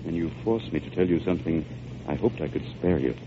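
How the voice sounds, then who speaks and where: An actor playing an earnest, regretful manager in a radio drama, speaking formally and solemnly. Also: male voice, sits low, fast, close to an everyday voice. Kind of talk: 1940s radio drama acting. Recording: noisy, muffled old radio recording.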